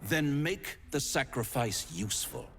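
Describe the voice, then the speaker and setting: A man playing a composed, condescending board-game opponent in a video game, deliberate and smugly instructive. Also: medium pitch, expressive, fairly bright tone, slight background noise. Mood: smug, unhurried.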